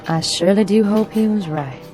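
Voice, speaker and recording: A wary, superstitious household servant played by a woman in a radio play. She, folksy and knowing, with music softly underneath. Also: medium pitch, brisk, close to an everyday voice. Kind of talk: radio drama acting.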